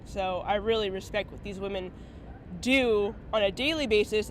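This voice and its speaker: A woman on a roller derby team speaking earnestly and warmly about her teammates. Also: mid-range voice, a little hiss or hum. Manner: earnest, heartfelt. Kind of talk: casual interview answers.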